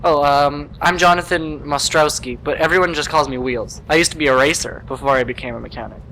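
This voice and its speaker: An easygoing young mechanic voiced by a man talks in casual slang in an amateur radio play. His voice is bright and forward, pitched a bit higher than most men's. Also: fairly even intonation, fairly quick, slight background noise, rough recording. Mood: subdued.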